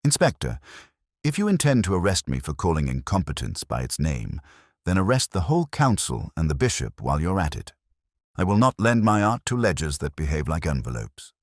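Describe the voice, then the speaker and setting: A man voicing a belligerent, pompous church organist in an audiobook mystery, sharp and disdainful in manner. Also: extremely low pitch, big pitch swings, a little fast, no echo, clean studio sound, heavily acted. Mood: belligerent, indignant. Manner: sharp, snapping, disdainful, theatrical.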